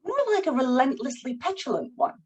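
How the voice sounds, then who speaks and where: A poised, controlling wife in a literary period drama, acted by a woman. Her voice sits fairly high with a husky edge. She phrases things formally and persuasively, always genteel. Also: big pitch swings, fairly quick, a little mellow, heavily acted. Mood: poised, brisk. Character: Juliana, poised, controlling, coaxing wife of a writer. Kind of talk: screenplay table read, period literary drama.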